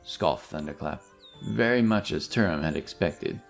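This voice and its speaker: A man's voice: a bass voice, moderate pace, creaky. A man narrating a scripted fantasy story as a storyteller. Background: music.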